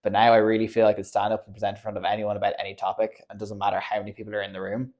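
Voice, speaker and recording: A man in his early twenties, a graduate student, speaking briskly and assuredly about his course. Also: fairly low pitch, monotone, darkish tone. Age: 20s. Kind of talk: student testimonial.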